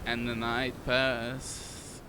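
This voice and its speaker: A man's voice: mid-range voice, monotone, extremely slow, background noise. A man doing casual video game commentary, playfully muttering to himself and echoing game text. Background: loud game music.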